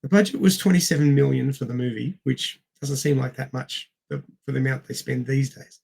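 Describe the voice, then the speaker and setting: Man, film podcast co-host, casual and plain-spoken, husky with plenty of vocal fry. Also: medium pitch, fairly even intonation, brisk, a little mellow.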